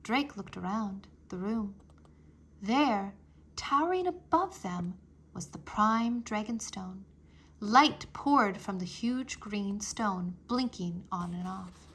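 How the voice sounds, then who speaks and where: A woman narrating a children's adventure book aloud with expressive, dramatic phrasing. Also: medium pitch, slow, husky. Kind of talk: children's storybook read-aloud.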